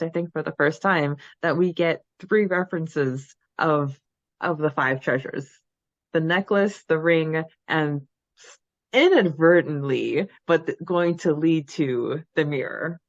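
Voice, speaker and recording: A woman with a slightly low voice talks through her thoughts as a podcast co-host. She is casual and animated, often halting mid-sentence. The recording is dry and a bit muffled. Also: no room sound. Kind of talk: casual fan discussion chat.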